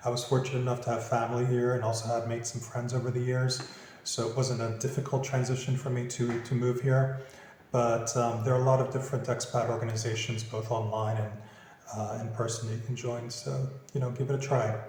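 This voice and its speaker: Mellow-voiced man in an echoey room. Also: medium pitch, flat delivery, a little fast. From Philadelphia, USA. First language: Greek. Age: 50s. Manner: easygoing, practical advice-giving. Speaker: interviewee. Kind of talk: casual interview answers.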